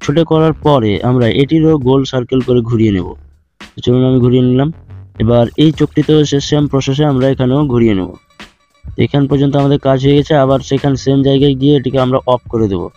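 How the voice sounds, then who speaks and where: A man narrating a step-by-step screen tutorial in a steady instructional way, addressing viewers as friends. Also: mid-range voice, fast talker. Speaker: tutorial host. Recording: dull lo-fi with faint music.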